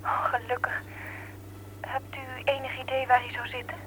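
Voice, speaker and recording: A woman's voice: high voice, completely flat delivery, normal speed, fairly bright tone. An actress playing a timid, polite young woman speaking on the telephone in a Dutch radio play. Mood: timid, relieved. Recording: faint hum, old radio play telephone call.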